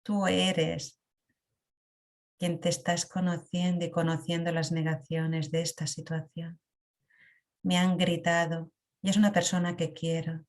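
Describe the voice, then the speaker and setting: A woman leading a guided emotional meditation in a calm, reflective way. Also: lowish voice. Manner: slow, calm, reflective guidance with long pauses.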